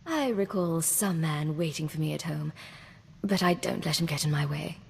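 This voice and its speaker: A woman's voice: sits low, normal speed, creaky, breathy edge, exaggerated voice. A woman playing a cool, self-assured intelligence agent in a video game, composed and wry. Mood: wry, teasing. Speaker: game player character. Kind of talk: scripted game dialogue acting. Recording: reverberant hall, faint background noise.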